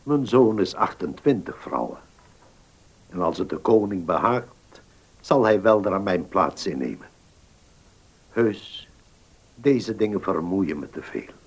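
A very low, husky voice belongs to this elderly notary in a radio play. He is weary and courteous, choosing formal words slowly and with hesitation. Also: sing-song, heavily acted. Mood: weary, reluctant. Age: older. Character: weary old village notary, courteous and measured. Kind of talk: Dutch radio drama acting.

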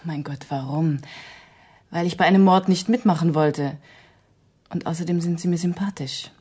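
Casting: a level-headed lab assistant turned ally in radio drama, lowish voice, firm and plain-spoken. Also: female voice, fairly even intonation, moderate pace, darkish tone, breathy edge. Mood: sincere, subdued. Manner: firm, matter-of-fact. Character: sober, resolute female clinic assistant turned ally.

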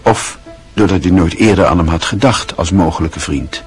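A man narrating a radio play in a measured, even storytelling manner. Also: low-pitched, faint music behind.

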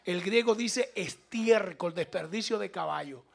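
A man's voice: sits high, measured pace, gravelly. A man with a Latin American Spanish accent preaching an impassioned, informal sermon, emphatic and repetitive, prodding his listeners to respond. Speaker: preacher taking questions from congregation.

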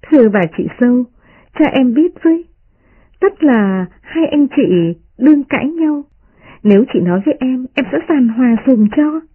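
A performer voicing a playful, sharp-tongued young noblewoman who mocks and teases, in a storybook reading. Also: high-pitched, animated, normal speed, husky, narrow, tinny audio, voice pushed up. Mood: teasing. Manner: playful, mocking.

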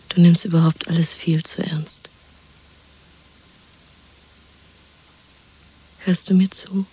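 An actress playing a tender young woman who coaxes in a hushed, breathy whisper, in a 1970s radio drama. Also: sits low, full character voice, poor audio. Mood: tender, chiding. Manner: hushed, coaxing, hypnotic. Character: tender, soothing young woman, a secret lover.

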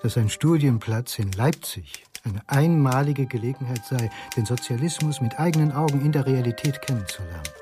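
Brief: actor as haunted man confessing his past, mid-pitched, expressive intonation, radio play with music behind. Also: normal speed, hardly any pauses, close to an everyday voice. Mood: reminiscing. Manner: reflective, confessional. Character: haunted male Icelandic former socialist student, confessing. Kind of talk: radio drama monologue.

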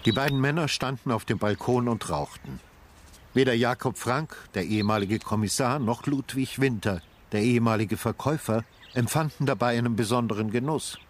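A man's voice: medium pitch, fairly quick, slight background noise. A man reading scripted literary narration as the narrator of a radio drama.

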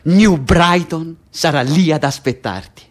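A man's voice: fairly high pitch, measured pace, lightly acted. A man acting in Italian radio theatre as a prisoner who insistently and bitterly taunts his cellmate, piling up detail step by step. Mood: relentless, heated. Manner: insistent, building lists step by step. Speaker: stage actor in a radio play.

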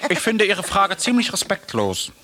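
A man voicing a proud, easily offended rooster in a radio play, with a clear, clipped voice. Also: high-pitched, sing-song, moderate pace, pushed and bright, voice pushed up, exaggerated voice. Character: proud male rooster, touchy, haughty.

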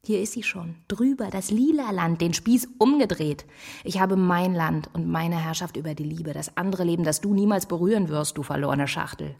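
An actress playing a defiant, imperious sixteen-year-old girl in a radio drama, with a breathy, husky voice. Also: on the low side, normal speed, a little mellow. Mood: haughty, defiant. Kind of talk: intimate modern German radio drama acting.

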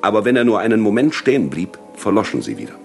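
A man's voice: fairly low pitch, big pitch swings, fast talker, creaky, soft background music. A man reading literary prose aloud as dramatic narration in a radio feature.

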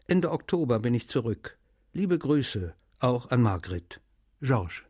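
An actor playing a male writer, reading in a measured, literary way for a radio feature. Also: medium pitch, very animated, dark tone, breathy edge, no echo, studio quality, exaggerated voice. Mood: friendly, content. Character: French male writer reading his friendly letters aloud.

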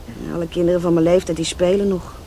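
A woman's voice: on the low side, flat delivery, quick pace, mellow, lightly acted. An actress playing a devoted, spirited young teenage girl in a radio drama, with a girlish, eager manner. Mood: affectionate. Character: devoted, spirited thirteen-year-old village girl in love. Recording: radio play with light hiss.

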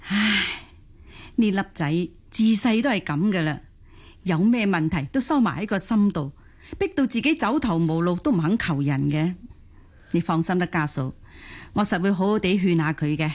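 Actress as a caring low-pitched Cantonese grandmother. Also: expressive, normal speed. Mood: soothing, caring. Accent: Hong Kong Cantonese. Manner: sighing, gently chiding, reassuring. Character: caring, understanding elderly mother-in-law and grandmother. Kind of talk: Cantonese radio drama acting.

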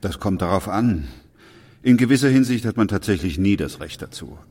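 Very deep-voiced, sober radio-play doctor. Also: male voice, animated, moderate pace, darkish tone, slightly rough, dry recording. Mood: sober, restrained. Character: sober, measured male doctor who also narrates. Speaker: narrator and lead actor. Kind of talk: literary radio drama. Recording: music behind the voice.

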